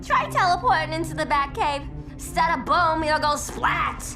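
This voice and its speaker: A woman's voice: high-pitched, lively intonation, moderate pace. A woman playing a manic, playful young clown antiheroine in a video game, with sing-song glee. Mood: teasing. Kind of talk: cartoonish video game acting. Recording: loud music over the voice.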